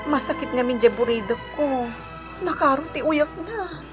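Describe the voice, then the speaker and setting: High, emotional, worried mother, played by an actress, pleading, Ilocano accent, radio drama over clear music. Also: moderate pace, close to an everyday voice. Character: pleading, worried mother asking neighbour for a loan. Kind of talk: Filipino radio drama acting.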